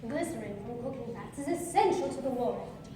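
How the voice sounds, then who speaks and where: An actress playing a bright, earnest woman in wartime radio adverts on a live stage. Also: high voice, lively intonation, quick pace. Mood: brisk, earnest. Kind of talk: 1940s radio advert acting. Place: stage of an opera house, live show. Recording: echoey hall, far mic, audience noise.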